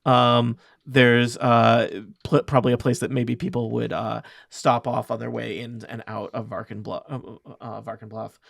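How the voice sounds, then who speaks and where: A man's voice: mid-range voice, level tone, moderate pace, gravelly, hardly any pauses, no echo, studio quality. A man narrating as game master of a tabletop game, rambling with many ums and self-corrections.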